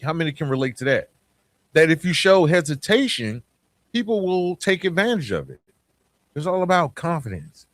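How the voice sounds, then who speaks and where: A man's voice: on the high side, big pitch swings, normal speed, no echo. A man hosting a podcast, talking conversationally and motivationally in a reflective, emphatic way, often checking in with the listener. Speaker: podcast host.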